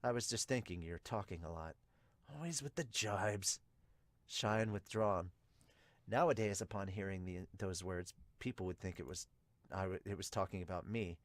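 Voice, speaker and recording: A withdrawn teenage boy from a manga, voiced by a man. He talks low and quietly, muttering with deadpan reluctance. The sound is close and dry. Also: moderate pace, no echo, lightly acted. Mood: dry, subdued. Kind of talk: manga dub narration and dialogue.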